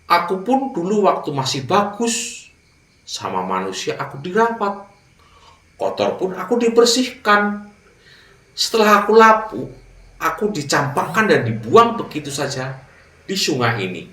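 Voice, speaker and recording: Man playing an old worn mat, fable, bitter, resigned, lively pitch, echoey room. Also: measured pace, long pauses, recorded from a distance, exaggerated voice. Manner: complaining, resigned.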